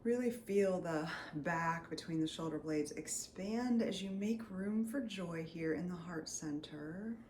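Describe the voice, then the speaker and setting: A woman leading a guided meditation as a Reiki healer, soothing and unscripted, often drifting into breathy near-whispers. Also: fairly low pitch, unhurried, few pauses, a little mellow. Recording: roomy echo, faint background noise.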